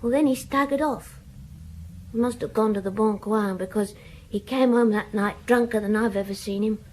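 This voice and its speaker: A woman's voice: mid-range voice, normal speed, a little mellow, a little hiss or hum, natural voice, rough recording. A woman playing an anxious village child in a radio drama, hesitant and plain-spoken. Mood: reluctant, confiding. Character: anxious village child, troubled by a drunken father.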